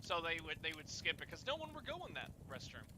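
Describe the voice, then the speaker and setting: A young man telling personal stories casually, in a halting way with repeated words and restarts. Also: a little high, huge pitch swings, noisy background. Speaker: storyteller and host of a group chat.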